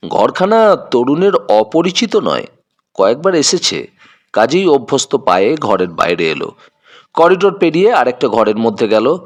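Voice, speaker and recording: A man narrating a scripted detective story in a dramatic, suspenseful manner. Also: medium pitch.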